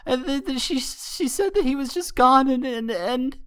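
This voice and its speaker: A man's voice: extremely high pitch, lively intonation, moderate pace, sharp tone, smooth, no echo, studio quality, pitched above the performer's own voice, exaggerated voice. A man voicing a frightened little boy pony in a storybook, stammering, breathless and pleading. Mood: panicked, tearful.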